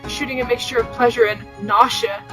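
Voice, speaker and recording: A woman's voice: on the high side, steady tone, fairly quick, over music, poor audio. A woman podcast host reading fan fiction aloud and bantering casually, with a giggly, teasing manner.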